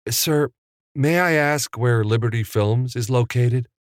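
A man voicing an eager, excited young man who is awed by old Hollywood. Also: medium pitch, a little slow, no echo, studio quality, voice pushed up. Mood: polite. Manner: eager, excited, breathless with wonder. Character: young man, eager and awestruck movie fan.

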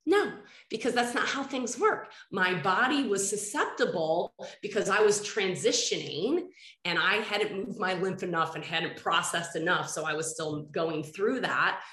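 A woman's voice: lowish voice, animated, a little slow, a bit bright, reverberant. A woman hosting a podcast interview, a little nasal and congested from a head cold, talking earnestly and rambling with restarts. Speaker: podcast host. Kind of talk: casual interview chat.

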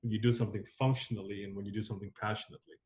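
A man's voice: lowish voice, flat delivery, rapid-fire, darkish tone. A man in his thirties, born in Zambia and raised on the US East Coast, talking reflectively as an interview guest, with many fillers and restarts. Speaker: filmmaker guest. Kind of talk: casual interview conversation.

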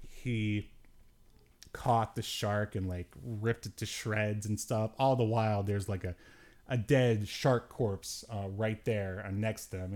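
A man's voice: lowish voice, a little mellow. A man hosting a podcast, giving a casual, rambling, joking movie review with a wry, sarcastic manner. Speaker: podcast host.

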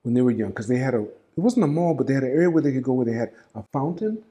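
A man's voice: mid-range voice, lively intonation, very fast, warm tone. A man interviewing a guest in a casual talk, prompting with questions and finishing the guest's sentences.

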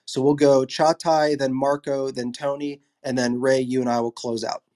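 A man's voice: mid-range voice, flat delivery, moderate pace, a little mellow. Man hosting a casual online panel. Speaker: host.

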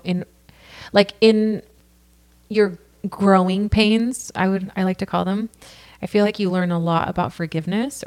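A woman's voice: mid-range voice, darkish tone. A woman from California, a podcast guest telling her personal story in an earnest, casual way, full of "like" and "you know.".

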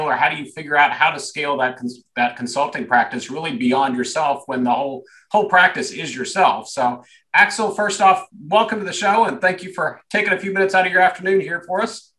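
A man's voice: a little high, sharp tone. A man hosting a business podcast, speaking in a loose, rambling way with repeated words. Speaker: podcast host. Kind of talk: podcast interview.

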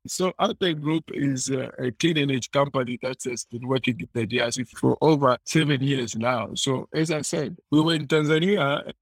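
A man's voice: medium pitch, normal speed, few pauses, no echo. A man from eastern DR Congo with a Congolese accent, telling his story conversationally as a podcast guest, explaining and rephrasing as he goes. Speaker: interview guest, clean energy entrepreneur.

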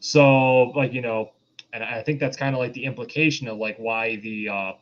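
A man's voice: medium pitch, steady tone, moderate pace. A man co-hosting a podcast in a casual review discussion, explaining his views in a hesitant, rambling way. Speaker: podcast co-host reviewing films. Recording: dull, lo-fi voice audio.

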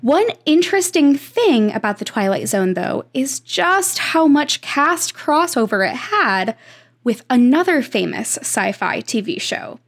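Quirky high-voiced woman, very lively pitch. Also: a little slow. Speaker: podcast producer with regular segment. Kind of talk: playful monologue to listeners.

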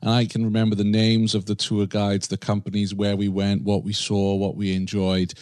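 A man's voice: fairly low pitch, completely flat delivery, normal speed, a little mellow, no room sound. A man hosting a conversational interview, affirming his guest and sharing personal anecdotes between questions. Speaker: podcast host, interviewer.

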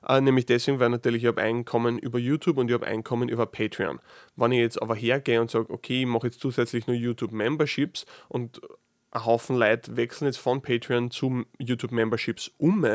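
A man's voice: mid-range voice, fairly even intonation, brisk, dry recording. A man speaking in Austrian dialect, hosting a casual solo podcast, thinking aloud with frequent restarts and dry, sarcastic asides. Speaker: podcast host.

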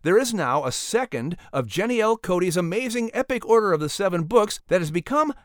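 A man narrating and announcing in an animated, scripted storytelling style. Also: high voice, brisk, no room sound, studio quality.

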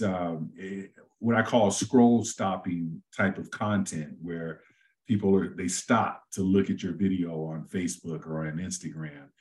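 A middle-aged man, a real estate agent, talking at length and easygoing in a casual interview, with a deep voice he says suits radio. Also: a little mellow. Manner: easygoing, talks at length with many fillers and restarts.

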